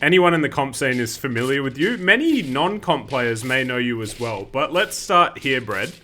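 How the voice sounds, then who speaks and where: An upbeat man hosts a gaming channel and laughs easily as he chats. His voice sits at an ordinary pitch, with nothing unusual in how it sounds. He keeps the talk friendly and moving. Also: expressive, moderate pace, pushed and bright, slight background noise. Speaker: host. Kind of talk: gaming video narration and interview.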